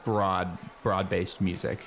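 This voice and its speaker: A man playing an earnest, know-it-all high school boy in an improvised comedy radio play, rambling casually with lots of slang. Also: flat delivery, slow pace, a bit bright, some chatter behind, a little hiss or hum, heavily acted. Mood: earnest, informative. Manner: rambling, hesitant, peppered with "like" and slang.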